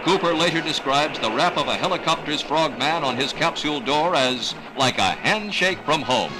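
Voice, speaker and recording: An American man reading scripted 1960s newsreel narration in a dramatic, measured announcer delivery. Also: fairly high pitch, expressive, low-quality recording. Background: music.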